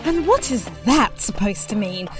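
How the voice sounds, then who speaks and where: A woman's voice: mid-range voice, sing-song, moderate pace, music in the background, natural voice. A woman playing a brash, breezy young noblewoman adventurer in a fantasy audio drama.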